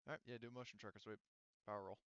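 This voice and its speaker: A man with a low, clean-toned voice narrates a tabletop game as its game master. He explains in a casual, meandering way. The recording is dry and a little dull. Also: steady tone, racing, fairly bright tone, smooth, dry recording. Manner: rambling, explanatory.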